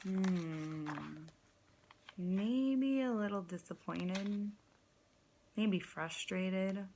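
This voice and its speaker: A woman's voice: medium pitch, extremely slow, noisy background. A woman kindergarten teacher reading a story aloud expressively, guiding young listeners with simple questions and dramatic phrasing.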